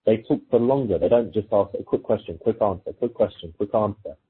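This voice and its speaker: A man's voice: on the low side, fairly quick, breathy edge. A man working as a dating coach, giving informal, conversational advice and explaining his points through example exchanges. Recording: muffled phone-like audio, thin and lo-fi.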